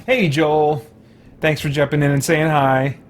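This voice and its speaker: A man's voice: fairly high pitch, fairly even intonation, measured pace. Easygoing guy streaming a game, rambly casual chat, bit of background noise. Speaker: livestream host, gamer. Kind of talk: casual livestream gameplay chat.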